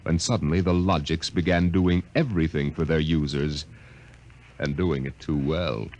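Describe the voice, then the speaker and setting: A man's voice: extremely low pitch, steady tone, moderate pace, darkish tone. A man announcing a 1950s radio drama with a dramatic, portentous delivery.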